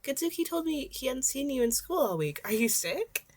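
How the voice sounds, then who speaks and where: A woman's voice: high voice, big pitch swings, moderate pace, darkish tone, slightly rough, no echo, voice pushed up, heavily acted. A woman reader puts on a stubborn, caring mother in an amateur audio story. The voice is lifted and emphatic, pushing for answers with motherly concern. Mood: relieved concern. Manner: emphatic, pressing.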